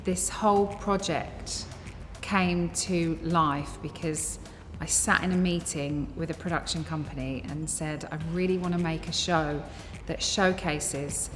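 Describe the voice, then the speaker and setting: Low-voiced earnest woman presenter. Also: steady tone, a little slow, long reverb tail, faint music behind. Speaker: presenter and show creator. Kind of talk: promo interview to camera.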